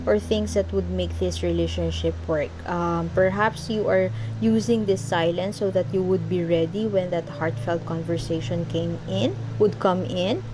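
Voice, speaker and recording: Soft-pitched woman reading tarot, halting. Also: fairly even intonation, background noise. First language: not English. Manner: halting, searching for words, frequent ums. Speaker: tarot reader. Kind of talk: casual tarot reading to camera.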